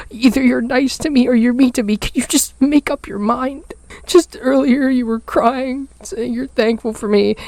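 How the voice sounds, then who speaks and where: A woman's voice: high-pitched, a little mellow, voice pushed up, low-quality recording. A woman voicing a hurt young girl who pleads and stammers through tears.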